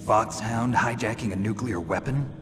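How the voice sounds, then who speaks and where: An actor playing a gruff, terse, skeptical retired special forces operative in a video game. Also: male voice, mid-range voice, normal speed, music in the background, close to an everyday voice. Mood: wary questioning. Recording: reverberant hall sound.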